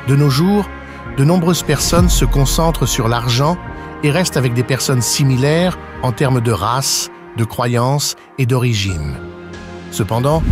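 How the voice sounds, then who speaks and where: A man reading scripted documentary narration as the narrator of a story. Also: medium pitch. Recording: clear music bed under voice. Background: music.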